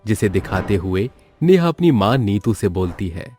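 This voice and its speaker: A man's voice: lowish voice, sing-song, normal speed, a little mellow, faint music behind. A man narrating a scripted children's story as the storyteller.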